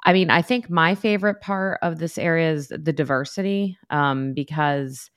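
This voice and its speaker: A woman, a psychologist co-hosting a podcast, chatting in a relaxed, rambling way with many fillers. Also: deep voice, moderate pace, clear, resonant tone, no echo, studio quality. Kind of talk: casual podcast chat.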